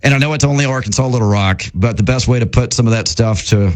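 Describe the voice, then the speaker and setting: Male sports radio co-host, casual banter, mid-range voice. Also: medium pitch. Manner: lively, also reads ad copy quickly.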